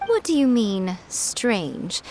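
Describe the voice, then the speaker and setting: A woman's voice: mid-range voice, sing-song, slow pace, a little mellow, slight background noise. An actress playing a teasing, confident woman in a video game. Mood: amused. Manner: teasing and self-assured. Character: sly, confident fox-like shrine maiden woman.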